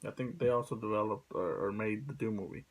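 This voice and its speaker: A man speaking casually as a video host, blunt and sweary, in a halting, rambling way. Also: on the low side. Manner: blunt, profane. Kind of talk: casual opinion vlog.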